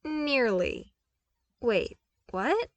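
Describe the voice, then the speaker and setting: A woman's voice: high-pitched, big pitch swings, drawn-out words, dry recording, pitched above the performer's own voice, exaggerated voice. A woman voicing an earnest, bewildered young male engineer who asks questions hesitantly in an audiobook drama. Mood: startled.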